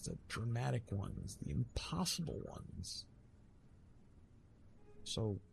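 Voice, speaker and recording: A man voicing a weary, wry retired god of love in an ASMR roleplay, with dramatic, halting delivery and repeated words. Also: mid-range voice, creaky, a little mellow, a little hiss or hum.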